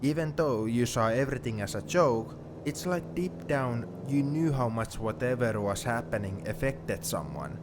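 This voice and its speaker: A man's voice: medium pitch, moderate pace, few pauses, natural voice. A man playing a sentimental, teasing young friend heading to university, in an intimate one-sided ASMR roleplay. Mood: wistful, reflective. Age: young adult. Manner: reflective, playfully defensive. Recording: steady car noise behind the voice.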